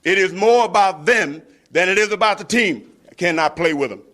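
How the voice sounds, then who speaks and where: A man's voice: high-pitched, lively intonation, normal speed, a bit bright. A man speaks emphatically in a motivational address, hammering out repeated phrases. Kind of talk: emphatic motivational speech clip.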